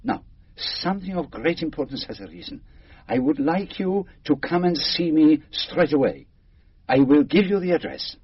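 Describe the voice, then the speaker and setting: An actor playing a shrewd, courteous middle-aged Belgian detective with a French-speaking Belgian accent and formal, deliberate phrasing in a radio drama. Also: male voice, fairly high pitch, lively intonation, husky, dry recording, highs cut off. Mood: courteous, probing.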